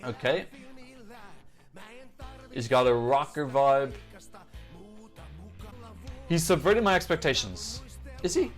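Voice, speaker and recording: A man with a medium-pitched voice hosts a casual music reaction. He talks off the cuff, chatty and opinionated, working out his thoughts aloud. Music plays behind his commentary. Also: animated, slow, pauses often. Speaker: host of a music reaction show. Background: songs from music videos being reviewed.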